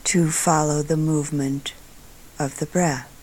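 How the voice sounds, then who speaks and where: A woman guides a meditation at a very slow, deliberate pace. Her voice is soft and calm, at times thinning to a breathy near-whisper. She speaks in short phrases and leaves long pauses between them. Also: sits low, poor audio. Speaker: meditation teacher.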